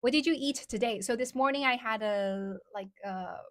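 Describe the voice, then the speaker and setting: A woman's voice: fairly high pitch, normal speed, a bit bright. A young woman from China with a Chinese accent, chatting casually on a livestream and answering viewers' questions, with lots of "like" fillers. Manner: chatty, many "like" fillers, reads out comments. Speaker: live streamer.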